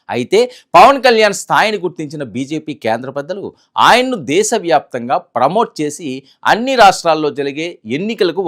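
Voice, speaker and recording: A man narrates scripted political news analysis as a presenter, emphatically, letting sentence endings trail off low.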